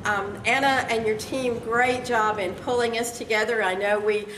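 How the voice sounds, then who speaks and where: A woman with a slightly raspy, somewhat high voice gives an encouraging keynote that draws the crowd in. She sounds a little off-mic. Her voice carries through a large, echoing conference hall. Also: fairly even intonation, moderate pace, noisy background. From Texas. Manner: encouraging, chatty, drawing the audience in. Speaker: keynote speaker. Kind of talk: motivational speech to a live audience. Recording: echoey hall, voice from a distance.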